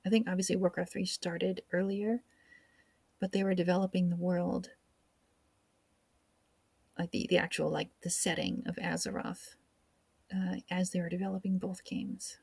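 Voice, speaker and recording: A woman's voice: fairly low pitch, flat delivery, normal speed, vocal fry, pauses often, darkish tone, slightly rough, dry recording. A woman hosting a gaming video with casual, chatty, informal commentary. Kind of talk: casual let's play commentary. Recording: faint background noise, game ambience.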